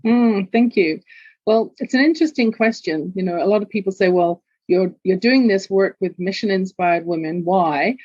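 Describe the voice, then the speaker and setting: A woman's voice: medium pitch, moderate pace, darkish tone, no room sound, muffled recording. An older woman with a London accent, a podcast guest telling her life story in an earnest, reflective way. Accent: London English. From England. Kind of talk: candid personal storytelling.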